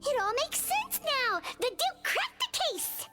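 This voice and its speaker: Cute little nurse girl for a game, super high voice, earnest, really bouncy pitch. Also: unhurried, soft background music, exaggerated voice. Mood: excited. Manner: earnest, cutely expressive. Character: small cute female head nurse, earnest and childlike. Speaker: game character voice actor. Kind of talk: scripted game dialogue.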